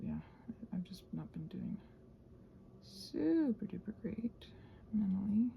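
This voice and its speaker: A woman chatting casually while crafting, soft and often dropping to a near-whisper, hesitant and thinking aloud, with playful little exclamations. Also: mid-range voice, very animated, slow, vocal fry, highs cut off, slight background noise. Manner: hesitant, thinking aloud, trailing off mid-sentence. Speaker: host of a crafting ramble channel. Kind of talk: casual rambling craft chat, ASMR-like.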